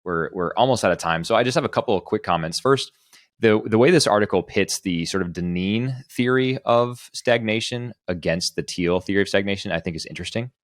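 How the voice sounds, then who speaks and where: Very deep-voiced man, podcast discussion. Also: brisk, no room sound, clean studio sound. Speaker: podcast co-host. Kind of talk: podcast discussion, reading out and commenting on an article.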